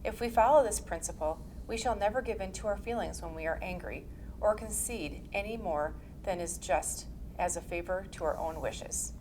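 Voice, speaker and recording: A woman giving a measured, formal scripted reading of a historical religious text. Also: medium pitch, darkish tone, slight background noise, low-quality recording. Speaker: reader.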